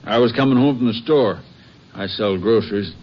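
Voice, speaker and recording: A man's voice: fairly low pitch, normal speed, lightly acted. A man playing a plain, neighborly small-town Texas grocer and father in a 1950s radio drama, folksy and laconic. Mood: plain, guarded. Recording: dull old radio recording with noise.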